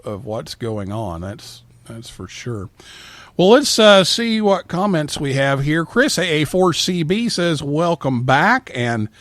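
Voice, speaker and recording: A man's voice: fairly high pitch, no room sound. Male host of a live call-in show, dry sound. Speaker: podcast and radio show host.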